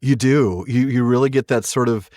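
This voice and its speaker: A man's voice: mid-range voice, normal speed, a little mellow, no echo. A man co-hosting a book podcast, moving between casual talk and dramatic narration of fantasy prose. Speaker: podcast co-host, book reader.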